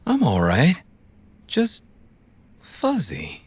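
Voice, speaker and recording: A woman playing a dazed, gentle, suggestible captive in a cyberpunk audio drama, halting and uncertain. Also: a bass voice, wildly swooping pitch, slight background noise, full character voice. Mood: dazed, compliant.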